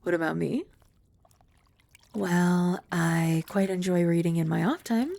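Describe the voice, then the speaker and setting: A young woman voicing a composed young maid who is also a childhood friend. She uses formal, polite speech with gentle, dry teasing, is soft-spoken, and sometimes drops to a whisper. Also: on the low side, measured pace, creaky, no echo. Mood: calm. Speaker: ASMR roleplay performer. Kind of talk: ASMR roleplay.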